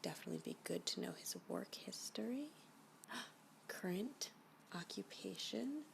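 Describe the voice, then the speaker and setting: A woman playing a flirty, obsessive stalker in an ASMR roleplay, breathy and often whispering, with a coy, smitten manner. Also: mid-range voice, lively intonation. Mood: scheming, hushed. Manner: coy, slow, unhurried, with giggly asides.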